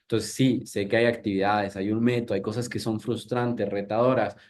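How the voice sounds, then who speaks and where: A man's voice: fairly low pitch, fairly even intonation, normal speed, darkish tone. A man in his thirties from Ecuador, an English teacher giving a live workshop in a conversational way, with frequent restarts and questions to the audience. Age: 30s.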